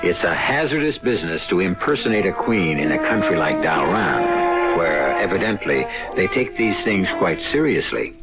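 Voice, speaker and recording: Deep, dramatic mystery narrator. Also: male voice, sing-song. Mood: wry, knowing. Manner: deliberate, wry, dramatic. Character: ominous, wry male storyteller of mystery tales. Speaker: host and narrator of the drama series. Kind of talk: old radio mystery narration. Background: dramatic music.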